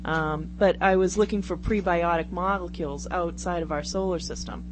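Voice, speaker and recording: A woman's voice: fairly low pitch, steady tone, normal speed. A woman chatting casually on a radio talk show, rambling and self-deprecating as she goes. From Brockton, Massachusetts, United States. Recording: very noisy radio recording with hum.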